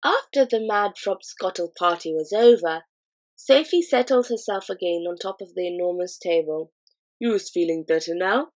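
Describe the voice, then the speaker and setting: Give me a woman narrating a children's storybook with a mid-pitched voice. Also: lively intonation, moderate pace. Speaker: audiobook reader.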